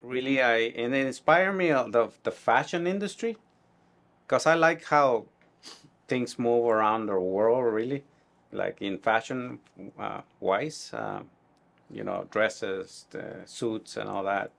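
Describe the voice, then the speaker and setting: A middle-aged man from Guatemala with a Guatemalan Spanish accent, answering interview questions in a halting, reflective way. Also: medium pitch, vocal fry, a bit bright. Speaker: tailor being interviewed.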